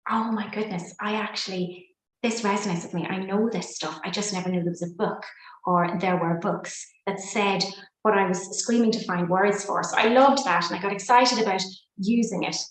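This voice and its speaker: Guest: Irish woman, 50s, fluent and expressive storyteller, roomy echo. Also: medium pitch, normal speed. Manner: warm, expressive and fluent, with folksy sayings. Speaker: podcast guest, psychotherapist and coach. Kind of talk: reflective interview talk.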